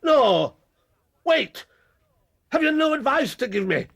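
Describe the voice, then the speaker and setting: A middle-aged English gentleman of the Victorian era with jangled nerves. His voice is very high and gravelly, agitated and indignant, stammering as he blusters and evades in a radio drama. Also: big pitch swings, takes it slowly, heavily acted. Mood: desperate. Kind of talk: radio period drama acting.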